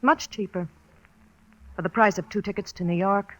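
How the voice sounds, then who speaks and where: An actress playing a tough, streetwise young circus fortune teller in an old radio drama, curt and slangy. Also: female voice, mid-range voice, normal speed, no echo, natural voice, low-quality recording. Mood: hard-boiled, shrewd. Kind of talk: 1940s radio drama acting.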